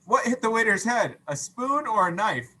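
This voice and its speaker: Male read-aloud teacher, playful, high-pitched, very animated, bright voice, expressive storytelling. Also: moderate pace, poor audio. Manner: playful, expressive, acts out sound effects. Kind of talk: animated children's story reading with questions.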